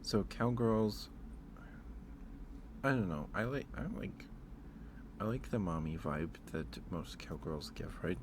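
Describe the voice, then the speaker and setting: Man, livestream host, deep voice, lively swooping intonation, casual rambling, hesitant, noticeable background noise. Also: slow, pauses often. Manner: informal, hesitant musing, thinking aloud.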